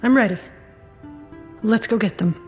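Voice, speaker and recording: Audio drama lead, female actor: middle-aged woman adventurer, sardonic, stubborn, hurt underneath; medium pitch, music bed. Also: moderate pace, lightly acted. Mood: searching. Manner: sardonic, wisecracking.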